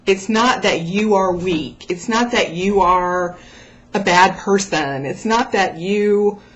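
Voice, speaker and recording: A woman's voice: mid-range voice, slow pace, a bit bright, dull audio, a little hiss or hum. A woman talking casually and motivationally to her followers as a vlog host, addressing the listener directly with encouraging emphasis. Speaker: host of a raw food vlog.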